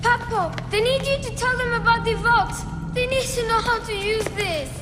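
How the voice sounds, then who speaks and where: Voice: very high voice, moderate pace. A performer playing a frightened, eager young boy, a warrior's son, pleading anxiously in a game. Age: child. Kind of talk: cinematic game voice acting. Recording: distant echoing scene, loud music.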